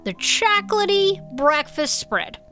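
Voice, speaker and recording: A woman's voice: very high voice, very animated, a little slow, bright, forceful tone, faint music behind, full character voice. A woman playing a cheerful, patient cryptid club archivist in chatty, improvised comedic audio fiction. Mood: storytelling. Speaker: podcast co-host.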